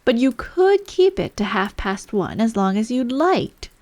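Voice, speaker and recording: A woman's voice: fairly high pitch, very animated, unhurried, a little mellow, dry recording, pitched above the performer's own voice. A woman voicing an eccentric male hat-maker, haughty and mournful, in a storybook reading. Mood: expounding.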